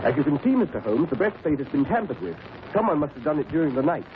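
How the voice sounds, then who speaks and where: An anxious, formal museum curator in a 1930s radio play. His voice runs slightly high and moves animatedly, with earnest emphasis. The recording is somewhat dull. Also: expressive, fast, background noise. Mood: worried. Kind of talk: 1930s radio drama acting.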